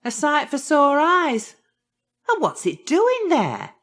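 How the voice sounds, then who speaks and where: Worried British housewife for a children's audiobook. Exclamatory, fairly high, hugely animated. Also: female voice, slow pace, exaggerated voice. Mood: puzzled, concerned. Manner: expressive, exclamatory. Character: anxious postman's wife on the telephone.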